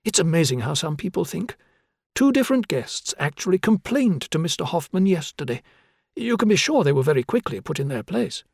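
A man's voice: on the high side, animated, normal speed, gravelly, breathy edge, no echo, clean studio sound, pitched above the performer's own voice, exaggerated voice. A man voicing a fussy, eager young male hotel desk clerk who talks in a flustered, apologetic way. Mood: confiding.

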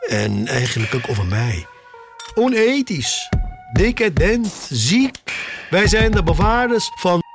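An actor playing a defiant, nostalgic middle-aged collector in a theatrical, halting audio drama monologue. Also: male voice, sits high, big pitch swings, exaggerated voice. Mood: secretive. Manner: confiding, theatrical, halting and emphatic. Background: music.

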